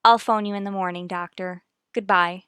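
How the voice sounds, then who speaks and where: A woman's voice: medium pitch, fairly even intonation, moderate pace, a bit bright, smooth, dry recording, lightly acted. An actress playing an anxious but determined young married mother in a vintage radio soap, speaking formally and politely in a dry recording. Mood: pleading, insistent.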